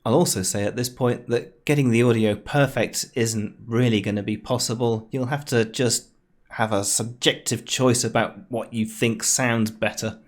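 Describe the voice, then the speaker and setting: A man's voice: medium pitch, steady tone, moderate pace. A man narrating an informal screencast tutorial in a conversational, explanatory way with wry understatement. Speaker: tutorial host. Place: home room, voice-over recorded near computer.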